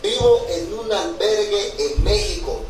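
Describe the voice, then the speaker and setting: A man's voice: high voice, animated, unhurried, over music. A young man, ranting casually to camera. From Honduras. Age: young adult. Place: small bare room indoors. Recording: echoey, distant, noisy phone video.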